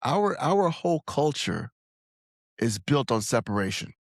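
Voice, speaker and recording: A man's voice: medium pitch, sing-song, measured pace, no room sound. Laughing podcast man, dry close mic. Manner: laughs while talking, acts out quoted voices. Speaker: podcast co-host. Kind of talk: casual chat.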